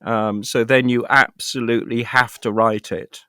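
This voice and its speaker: A man's voice: medium pitch, little pitch movement, measured pace, bright, forceful tone. A middle-aged British man chatting casually as an interview guest, self-deprecating and thinking aloud as he rambles. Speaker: guest, actor and writer.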